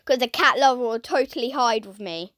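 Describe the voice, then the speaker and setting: A woman giving playful, dramatic commentary over a video game, her voice croaky and strained. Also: a little high, fairly quick, dry recording, clean studio sound. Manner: dramatic, silly, swings between shouting and whispering. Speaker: gaming YouTuber.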